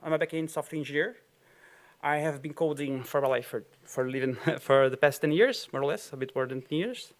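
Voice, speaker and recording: A man with a Brazilian Portuguese accent, his voice a touch high, talks to an auditorium crowd. He rambles in a loose, chatty way, full of fillers and little laughs. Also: fairly quick. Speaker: conference speaker. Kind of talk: tech conference talk.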